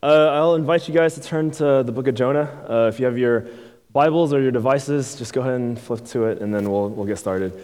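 A man in his thirties from Houston, preaching a relaxed, conversational sermon as a guest youth pastor, with many fillers and questions to the crowd. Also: medium pitch, a little fast. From Houston, Texas. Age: 30s. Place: church sanctuary. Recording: long reverb in a large hall.

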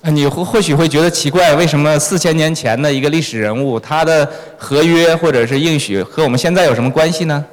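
A man's voice: a little high, fast, few pauses. A man interpreting a sermon phrase by phrase in a church. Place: large reverberant church hall. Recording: echoey hall with long reverb.

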